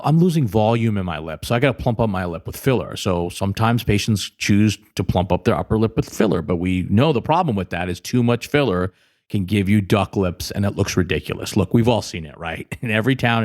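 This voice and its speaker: A man's voice: fairly low pitch, quick pace, a little mellow. An American man speaking as a doctor and podcast host, explaining in a conversational, emphatic way and addressing the listener directly. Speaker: plastic surgeon hosting a podcast. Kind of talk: conversational patient-education explainer.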